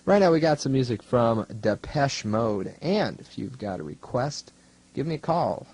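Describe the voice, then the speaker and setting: A man's voice: medium pitch, very animated, normal speed, a little mellow, breathy edge. A young man working as a student DJ, talking casually between songs on college radio in a wry, rambling, self-deprecating way. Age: young adult. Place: radio studio.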